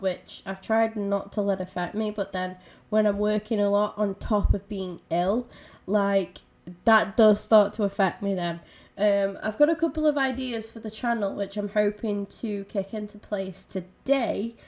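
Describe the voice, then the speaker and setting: Female streamer, raspy and croaky with a cold, a bit nasal, mellow dark tone, loose rambling chat full of ums. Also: medium pitch. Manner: chatty, rambling, with many ums and restarts. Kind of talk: casual gaming stream chat.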